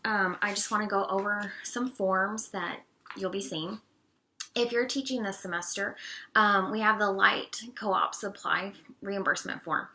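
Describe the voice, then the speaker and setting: A woman with a bright, forward tone and a raspy edge from allergies. She talks in a casual, friendly way, stopping often on "um" as she walks through the details. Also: medium pitch, slight background noise. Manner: friendly, chatty, many "um"s. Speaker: co-op treasurer. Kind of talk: casual informational video talk.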